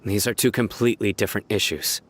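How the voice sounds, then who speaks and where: An actor playing a stern, analytical young male investigator in a video game, speaking in a measured, serious way. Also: on the low side, a bit bright, slight background noise, lightly acted. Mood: resolute. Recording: game music behind.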